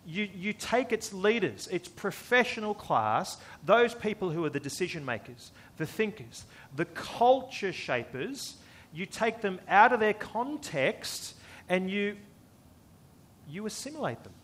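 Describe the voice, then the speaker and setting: A man with an Australian accent delivers an expository sermon in a notably high voice. He works rhetorically, posing questions and restating phrases to drive each point home. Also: big pitch swings, measured pace, fairly bright tone. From born in the US, lived most of his life in Australia. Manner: rhetorical, repeats phrases for emphasis, asks and answers questions. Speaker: preacher.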